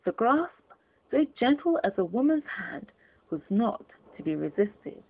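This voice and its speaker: A woman reading classic literature aloud as an audiobook narrator, in an expressive, deliberate storytelling manner. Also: a little high, vocal fry, narrow, tinny audio. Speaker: audiobook reader.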